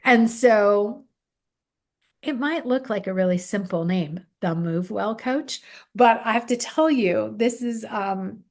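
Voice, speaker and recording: A woman's voice: mid-range voice, slow pace, darkish tone. A woman hosting a conversational interview, reflective and playing with words, often affirming her guest. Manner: reflective, plays with words, many affirming fillers. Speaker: podcast host.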